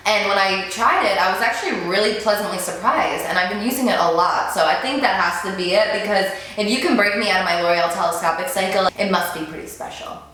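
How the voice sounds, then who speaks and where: A woman's voice: mid-range voice, quick pace, hardly any pauses, a bit bright. A woman in her twenties, a beauty vlogger, chatting casually to camera and answering viewer questions. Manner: chatty, gushing, many "like"s and "um"s. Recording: very roomy echo.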